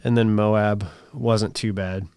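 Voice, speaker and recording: A man in his thirties hosting a live stream, chatting casually and reading viewer comments, his voice strained and raspy, talking in rambles full of fillers. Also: fairly low pitch, fairly even intonation, slow pace, a little mellow, dry recording, studio quality. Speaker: livestream host.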